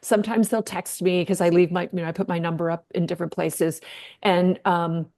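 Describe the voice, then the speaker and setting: A woman's voice: fairly low pitch, flat delivery, a little fast, dark tone. Bubbly, emphatic middle-aged woman host. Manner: bubbly, emphatic, laughs often. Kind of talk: casual online chat.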